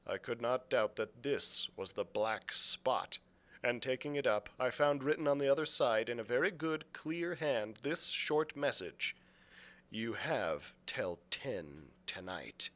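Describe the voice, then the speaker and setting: A man's voice: medium pitch, measured pace, a bit bright. Man reading an adventure story aloud. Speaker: youth services librarian reading aloud. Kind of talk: expressive storybook narration.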